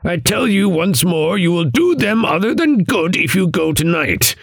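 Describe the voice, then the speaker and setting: A man voicing a stern old raven mentor in an audiobook, croaking and solemn, with archaic, admonishing speech. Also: a little high, big pitch swings, unhurried, fairly bright tone, no room sound, voice pushed up, exaggerated voice. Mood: insistent warning. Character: stern old raven guide, grave male riddling mentor. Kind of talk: audiobook character voice.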